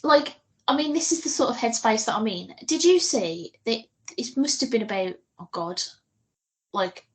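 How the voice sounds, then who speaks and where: A British woman co-hosting a podcast, chatting casually in an informal, jokey and expressive manner. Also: on the high side, moderate pace. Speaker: podcast co-host. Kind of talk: casual chat.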